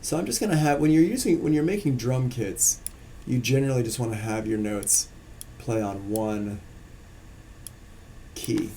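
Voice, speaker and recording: Relaxed gravelly man teaching beats. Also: medium pitch, moderate pace, slight background noise. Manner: casual, relaxed explaining while working on screen. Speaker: music production instructor. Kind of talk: live-streamed software tutorial.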